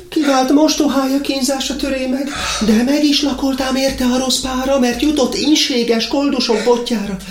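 An actress playing a kindly, chatty young village wife in a verse radio play, with folksy, effusive speech. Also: female voice, high voice, echoey room, close to an everyday voice.

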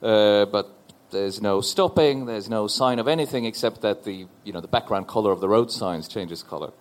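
A man in his forties from Norway, a panel guest telling a personal story in a thoughtful, halting way on stage before an audience. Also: lowish voice. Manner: thoughtful, halting with repeated restarts.

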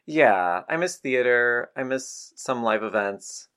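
A man's voice: mid-range voice, animated, takes it slowly, fairly bright tone, clear, resonant tone. A man hosting a podcast, introducing and chatting in an interview style.

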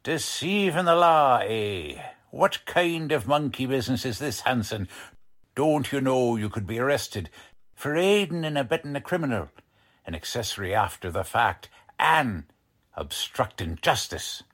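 A man performs a hard-boiled police lieutenant from a 1940s radio play. He is gruff and bullying, with streetwise slang. His delivery is slow and deliberate, with strongly varied intonation. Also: mid-range voice, animated, fairly bright tone. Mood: stern, sarcastic. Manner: slangy, ungrammatical tough-cop talk, accusing. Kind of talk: 1940s radio drama acting.

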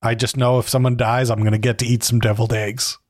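A man's voice: mid-range voice, level tone, fast, no echo, clean studio sound. A man co-hosting a casual movie podcast, laid-back and playful, often breaking into giggles. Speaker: podcast co-host.

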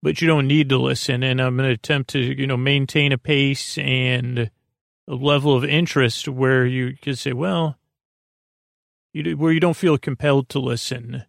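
A man hosting a bedtime podcast in a gravelly voice, rambling hesitantly and repetitively in a monologue meant to lull listeners to sleep. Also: medium pitch, fairly even intonation, normal speed, no room sound, clean studio sound. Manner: meandering, hesitant, repetitive, with murmured asides. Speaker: podcast host.

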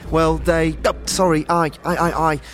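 Man playing a high-voiced, stammering young recruit. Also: little pitch movement, normal speed, close to an everyday voice. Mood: nervous, earnest. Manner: stammering, apologetic, flustered. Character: eager, nervous eighteen-year-old male recruit. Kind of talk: fantasy audio drama acting.

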